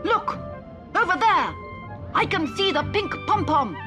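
A woman voicing an eager, excited little male robot in a children's story. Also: moderate pace, music in the background. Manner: high, eager and pleading.

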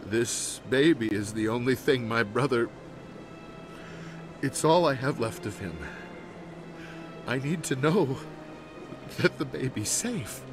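A man's voice: mid-range voice, expressive, slow, long pauses. An actor playing an anguished middle-aged man in a video game drama, urgent and argumentative as he pleads for his family. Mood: pleading sorrow. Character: anguished, insistent brother-in-law pleading for the baby. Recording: music behind the voice. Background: music.

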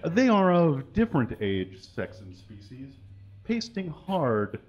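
A man giving a comic reading on a small stage at a stand mic, deadpan and mock-earnest. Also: a little high, slow pace, highs cut off. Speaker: guest reader. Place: small stage with curtains and brick wall.

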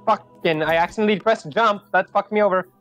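Agitated swearing higher-voiced man. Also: fast, a bit bright, muffled recording, soft background music. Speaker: teammate. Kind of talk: casual gaming chat.

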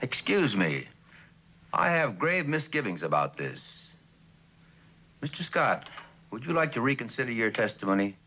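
Husky, forceful courtroom judge. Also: male voice, medium pitch, sing-song, unhurried, pauses often, full character voice. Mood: grave, official. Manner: formal, authoritative. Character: grave, formal male courtroom judge. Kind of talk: 1960s television courtroom drama acting.

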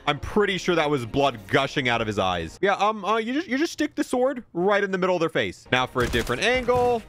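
A man's voice: high voice, sing-song, brisk, a bit bright, faint music behind. A man giving casual, chatty and opinionated review commentary over video game footage, with playful exclamations.

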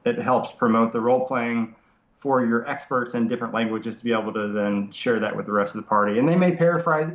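A man in his thirties with a nasal voice from a cold, explaining software casually over a video call from his home study, with many fillers and restarts. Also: medium pitch. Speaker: software developer guest. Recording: roomy lo-fi video call audio.

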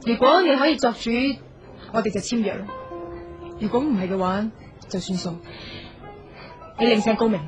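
A woman's voice: fairly high pitch, expressive, moderate pace, long pauses, natural voice. A woman with a Hong Kong Cantonese accent acting a proud, curt young designer in a radio drama. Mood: angry. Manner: curt, aloof. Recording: dull audio with music underneath.

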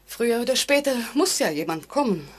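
A woman's voice: fairly high pitch, big pitch swings, a little fast. An actress playing a nervous, frightened woman in a 1940s-style radio play, speaking in a hesitant, anxiously polite way. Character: nervous, increasingly frightened woman trapped in an elevator. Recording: noisy vintage radio drama recording.

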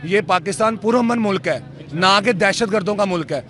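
A man's voice: sits high, fairly quick, bright, forceful tone. Fervent young man giving cricket advice. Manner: fervent, preachy, often invokes God. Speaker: cricket fan interviewee. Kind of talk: impassioned street opinion. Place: outdoors at night in a crowd. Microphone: handheld mic held by interviewer.

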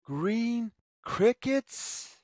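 Very slow, lively woman reading to children. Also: fairly high pitch, no room sound, studio quality. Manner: deliberate, sing-song, pausing between phrases. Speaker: storyteller reading aloud to children. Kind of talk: slow read-aloud of a children's picture book.

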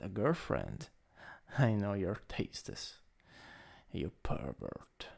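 A man's voice: medium pitch, gravelly, full character voice. A man with an Italian accent playing a possessive, teasing, dominant older stepbrother in an improvised ASMR roleplay. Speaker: roleplay audio performer.

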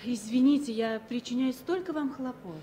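A woman's voice: sits high, moderate pace, mellow, a little hiss or hum, close to an everyday voice. An actress playing a polite, apologetic expectant mother as a passenger in a 1970s Soviet radio play. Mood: grateful, apologetic. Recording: old radio play, crowd murmur behind.

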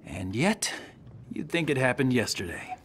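A man's voice: medium pitch, big pitch swings, moderate pace, a bit bright, a little hiss or hum. A young man playing a cocky warrior in a video game, talking in a dry, sardonic, probing way. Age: young adult. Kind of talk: video game cutscene acting.

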